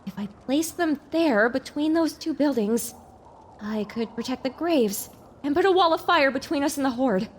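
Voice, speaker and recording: A woman voicing a young pony heroine, anxious but decisive, with an urgent, excitable manner in an audio drama. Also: high voice, moderate pace, slight background noise. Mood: focused.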